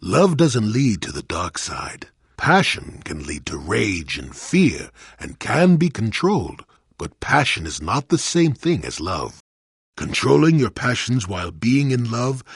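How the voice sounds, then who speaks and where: A man playing a crotchety, wry old hermit in a video game, with a gravelly, husky voice and a sardonic storyteller's manner. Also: sits low, no room sound, studio quality, full character voice. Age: older. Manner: cantankerous, sardonic, rambling storyteller. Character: crotchety old male hermit, former Jedi, wry and weary. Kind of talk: video game character acting.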